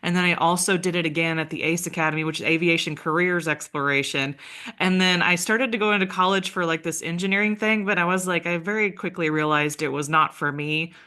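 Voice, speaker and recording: A woman's voice: fairly low pitch, fairly quick, bright, forceful tone, clean tone. A woman hosting a podcast, chatty and enthusiastic, laughing often. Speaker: podcast host. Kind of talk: casual interview chat.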